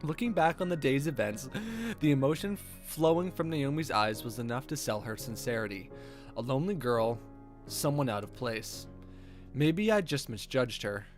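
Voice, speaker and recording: Animated man streaming a visual novel. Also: mid-range voice, normal speed. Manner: wry, joking, sweary commentary. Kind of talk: reading game text aloud with casual asides. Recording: background music under voice. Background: music with guitar.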